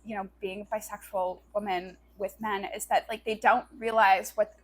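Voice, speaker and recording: A woman's voice: mid-range voice, level tone, moderate pace. A woman co-hosting a podcast in casual chat, slangy and agreeable, with "like" scattered through her sentences. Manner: slangy, agreeable, filler-heavy "like". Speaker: podcast co-host.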